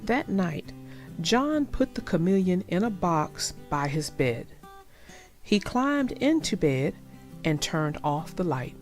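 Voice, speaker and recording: A woman reading a children's story aloud in a deliberate, expressive storytelling manner, with music behind her. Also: on the low side. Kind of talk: read-aloud storybook narration.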